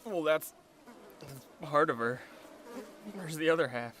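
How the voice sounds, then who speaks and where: A man's voice: on the high side, measured pace, sharp tone, slight background noise. A man playing a naive, squeamish deputy in an audio drama, uneasy and full of questions. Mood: shocked, queasy.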